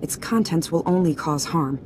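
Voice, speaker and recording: Resolute female senator actress, game cutscene: lower register, formal, measured, firm. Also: lightly acted. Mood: cool, commanding. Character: proud, resolute middle-aged female senator. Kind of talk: video game cutscene acting. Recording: game cutscene with clear ambient noise.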